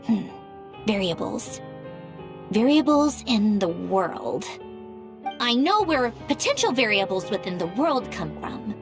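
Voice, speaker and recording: High, animated young genius researcher. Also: female voice. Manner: theatrical, thinking aloud in bursts. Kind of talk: animated game cutscene voice acting.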